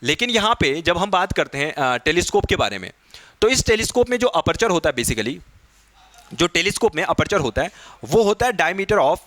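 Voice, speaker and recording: Higher-voiced man teaching, dry sound. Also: fairly bright tone, dry recording. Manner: checks in often with short tag questions; mixes Hindi with English technical terms. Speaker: physics teacher for exam preparation. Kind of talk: exam-prep lesson, explaining questions step by step.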